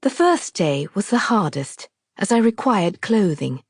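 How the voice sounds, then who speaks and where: A woman reading a novel aloud as a scripted literary narration, in a measured, deliberate, expressive storytelling manner. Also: mid-range voice, husky, no echo, studio quality. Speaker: author narrating her own audiobook.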